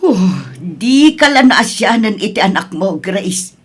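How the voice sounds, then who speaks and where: Husky, sorrowful older Filipina mother, acted by a woman. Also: mid-range voice, lively intonation, takes it slowly. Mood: reproachful, pleading. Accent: Filipino, Ilocano. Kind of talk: Ilocano audio drama acting.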